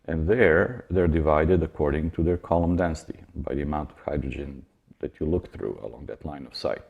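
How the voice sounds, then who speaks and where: A man giving an academic science lecture as a university lecturer, explaining in a measured way with informal asides. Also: extremely low pitch, creaky, narrow, tinny audio.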